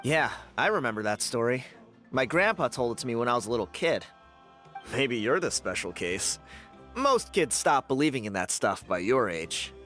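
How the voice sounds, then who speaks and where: A man's voice: mid-range voice, very animated, moderate pace, fairly bright tone, faint music behind. A man playing an easygoing, skeptical young friend in a video game, talking in a casual, teasing way.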